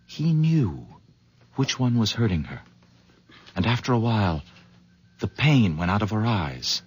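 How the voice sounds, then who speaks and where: An actor playing an eager horse owner who stammers and then gushes, in a 1970s radio drama. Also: male voice, fairly low pitch, measured pace, breathy edge, muffled recording, full character voice. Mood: earnest storytelling. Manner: hesitant, stammering, then excitedly effusive. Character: eager, fussy middle-aged horse owner. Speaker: visitor.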